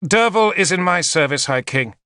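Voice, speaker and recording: A male reader plays a courteous, steadfast knight envoy from historical fiction. He speaks firmly and respectfully, a little high in pitch, with a dry, close sound. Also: normal speed, pushed and bright, no echo. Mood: pleading firmly. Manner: formal, firm, respectful.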